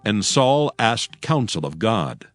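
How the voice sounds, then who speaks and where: Deep, animated male scripture narrator. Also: slow. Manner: formal, declarative storytelling. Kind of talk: solemn dramatized scripture narration. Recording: music behind the voice.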